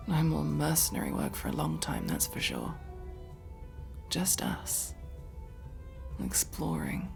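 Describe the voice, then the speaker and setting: Guarded, tender elf mercenary played by a woman for ASMR roleplay: creaky, whispery, unhurried, expressive. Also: low voice, full character voice. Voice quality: creaky, often dropping to a breathy whisper. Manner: slow, halting, sweary. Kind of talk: intimate ASMR roleplay acting.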